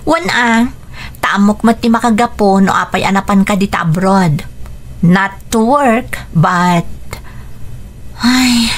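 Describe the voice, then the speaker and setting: A woman's voice: medium pitch, slow, pauses often, slight background noise, close to an everyday voice. An actress playing a caring young adult daughter in a radio drama, coaxing and concerned, slipping English into her talk. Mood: gentle coaxing. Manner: coaxing, mixes English into Ilocano. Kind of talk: Ilocano radio drama acting.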